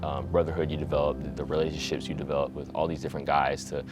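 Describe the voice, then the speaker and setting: Casual.. teen boy, super low voice, lots of "you know", faint music behind. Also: level tone, fast talker. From Hawaii. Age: teens. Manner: reflective, many "you know" fillers. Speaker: high school quarterback. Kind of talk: documentary interview.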